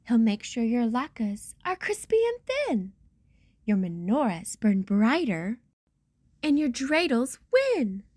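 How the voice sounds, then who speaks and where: A woman's voice: on the high side, a little mellow, breathy edge, no echo. A woman reading a rhyming children's picture book aloud with theatrical storyteller emphasis.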